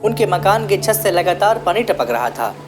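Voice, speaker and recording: A low-pitched woman storyteller delivers scripted narration for a children's tale. Music plays clearly beneath her words. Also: sing-song, normal speed.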